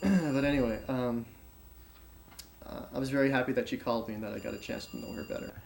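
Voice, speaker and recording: A mid-pitched man's voice with creak and faint room noise as he plays a wary son in a film drama. He recounts events haltingly and softens as he goes, as if being interviewed. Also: fairly even intonation, natural voice, poor audio. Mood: fond. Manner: hesitant, halting. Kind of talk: naturalistic film dialogue, interview-like.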